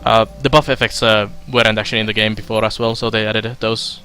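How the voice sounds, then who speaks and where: A young man from Finland giving casual let's play commentary, halting with fillers as he reads game text aloud. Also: fairly low pitch, level tone, pushed and bright, faint music behind. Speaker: streamer.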